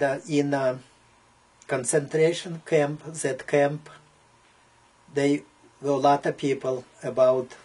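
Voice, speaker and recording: An elderly man with an Eastern European accent gives a personal oral history. His voice is raspy and worn, at a middle pitch. He speaks slowly in English that is not his first language, pausing often between short, broken phrases. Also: a little mellow, rough recording. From Poland (now Belarus). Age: 70s. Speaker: Holocaust survivor giving testimony.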